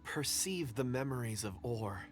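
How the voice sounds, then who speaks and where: A man's voice: mid-range voice, lively intonation, moderate pace, loud music behind the voice, natural voice. A man voicing an earnest, polite and hesitant young man in a video game. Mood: shy, confiding. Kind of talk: video game character dialogue.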